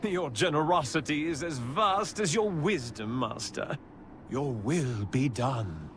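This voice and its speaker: A man playing an obsequious, self-important vampire chamberlain in a video game, with a fawning, pompous, drawn-out delivery. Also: on the high side, animated, a little hiss or hum. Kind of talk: theatrical video game character acting. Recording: loud orchestral music behind.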